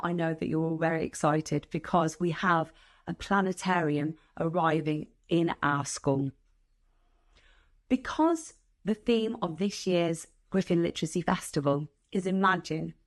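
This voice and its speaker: A woman with a slightly low voice speaks as a school staff member to young children. She is encouraging and explains things as an announcement before a storytime.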